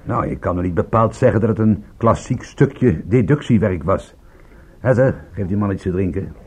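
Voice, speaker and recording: An actor playing a sardonic, indignant private detective in a Dutch radio drama, with a theatrical delivery. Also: male voice, on the low side, lively intonation, moderate pace, a little mellow, slightly rough. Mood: smug, relaxed. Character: sardonic, indignant male private detective, proud of his work.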